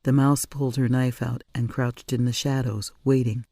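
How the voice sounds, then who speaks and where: A woman narrating a story in an expressive audiobook reading style. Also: a bass voice, moderate pace, no room sound, clean studio sound. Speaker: author or reader narrating a story.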